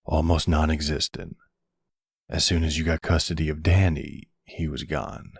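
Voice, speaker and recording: Man acting as suave middle-aged mob boss, very deep, gravelly, intimate, flirtatious, ASMR roleplay. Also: flat delivery, moderate pace, dry recording, clean studio sound, exaggerated voice. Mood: teasing, flirtatious.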